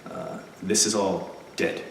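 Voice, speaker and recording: Relaxed low-voiced man in an echoey room. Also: slow pace, creaky, a little hiss or hum. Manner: animated storyteller, acts out voices of others in anecdotes. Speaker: interview guest, stage actor and musician. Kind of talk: casual interview chat. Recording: echoey room, distant from the mic.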